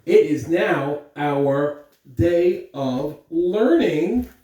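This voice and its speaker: A woman speaking to young children in an encouraging, deliberate way, as in school announcements and a read-aloud. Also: low-pitched, expressive, mellow. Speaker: school morning announcer and story reader. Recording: echoey room, distant mic, faint noise.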